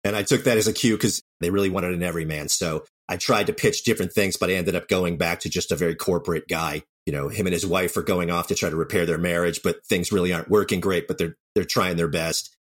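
Low-voiced man, candid storyteller. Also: fairly even intonation, fast, few pauses. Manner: anecdotal, candid. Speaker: interview guest, audio drama writer. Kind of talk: reflective interview talk.

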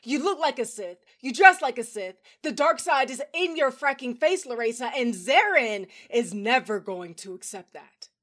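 A woman's voice: a little high, animated, moderate pace, few pauses, a bit bright. A woman playing a blunt, sardonic comrade of a Sith in an audio drama, confrontational and exasperated. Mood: exasperated, challenging.

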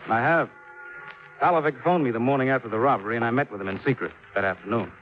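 An actor playing a serious, guarded chief insurance adjuster in a 1950s radio drama, speaking gravely and deliberately. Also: male voice, medium pitch, natural voice. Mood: guarded, earnest. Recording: dull, noisy 1950s radio recording.

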